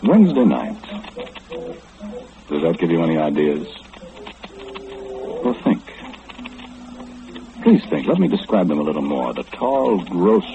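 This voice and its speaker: A man playing a courteous, eccentric, all-knowing gentleman in an old-time radio drama, speaking with formal, deliberate enunciation. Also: low-pitched, full character voice. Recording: muffled, noisy old radio recording.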